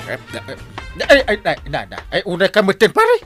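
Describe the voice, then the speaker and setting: An actor playing a lazy, sleepy village captain in a comic radio drama, stammering and evasive with an Ilocano accent. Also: male voice, high voice, wildly swooping pitch, moderate pace, a bit bright, faint music behind, full character voice. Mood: surprised, bumbling. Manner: stammering, full of "eh" and "ay".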